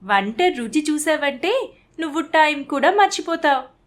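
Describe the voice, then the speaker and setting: A woman's voice: high-pitched, animated, unhurried. A woman voicing a breezy young city daughter-in-law in a cartoon family story. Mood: eager hostess.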